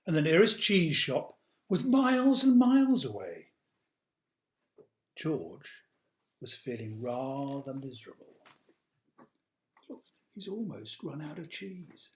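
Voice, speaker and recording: A man reading a picture book aloud to children, with dramatic drawn-out emphasis and hushed asides. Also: on the high side, big pitch swings. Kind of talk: animated children's storytime narration. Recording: roomy, echoey sound.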